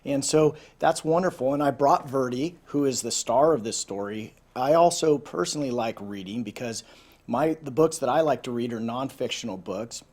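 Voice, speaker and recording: A man's voice: medium pitch, moderate pace, a little mellow. A man presenting and reading a children's story aloud as a storyteller, talking informally and directly to listeners. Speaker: volunteer storyteller.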